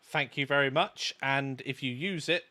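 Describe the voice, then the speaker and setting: A man co-hosting a casual live stream, explaining things in a halting way. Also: mid-range voice, sharp tone. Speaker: livestream co-host, game development course maker.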